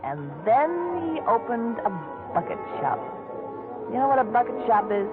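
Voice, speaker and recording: An actress playing a tipsy, flirty gangster's wife who rambles and slurs in a 1940s radio drama. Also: female voice, on the high side, big pitch swings, normal speed. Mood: tipsy, rambling. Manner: chatty, wandering, stumbling over words. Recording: muffled vintage radio recording with music.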